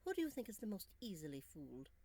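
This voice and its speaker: A woman's voice: mid-range voice, huge pitch swings, quick pace, dark tone, breathy edge, no room sound, heavily acted. Woman reading as candid young Victorian lady, storybook heroine, earnest, formal, melancholy, dry close sound. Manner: earnest, formal, emphatic phrasing. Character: bold melancholy young Victorian Englishwoman, candid and self-doubting.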